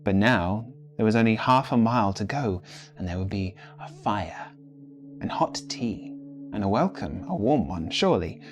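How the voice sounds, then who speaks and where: Low-voiced man reading a dark fairy tale. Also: lively intonation, faint music behind. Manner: measured, deliberate phrasing with pauses. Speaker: story reader. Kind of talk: dramatic literary audiobook narration.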